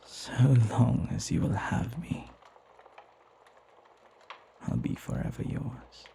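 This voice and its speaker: A man playing a devoted, flirty butler in an intimate ASMR roleplay, tender and hesitant, often sinking into a breathy whisper. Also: mid-range voice, slow pace, mellow, slight background noise. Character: flirty lovestruck male butler, devoted and deferential.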